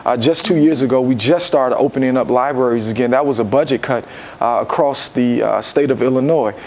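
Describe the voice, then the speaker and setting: A man's voice: medium pitch, a little mellow, slight background noise. An American man giving earnest, reflective interview answers, building his points step by step as a guest. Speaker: guest, ministry founder and book-drive leader.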